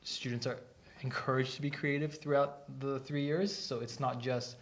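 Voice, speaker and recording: A man's voice: medium pitch, fairly even intonation, normal speed. A man, an art instructor, chatting casually on a live stream and explaining things at length with many restarts. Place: art academy studio.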